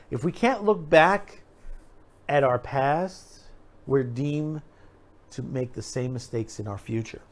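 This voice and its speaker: A man's voice: mid-range voice, darkish tone. A middle-aged American man giving unscripted opinion commentary as a show host, rambling and emphatic, often repeating himself. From United States.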